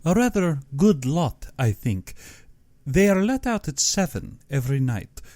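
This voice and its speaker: Storybook villain: male reader as a genteel, menacing general, pompous and over-polite, pitched up and swinging widely, unhurried. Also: very animated, darkish tone, no echo, studio quality. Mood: proud, sinister. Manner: pompous, exaggeratedly courteous. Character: genteel, menacing aristocratic general who hunts men.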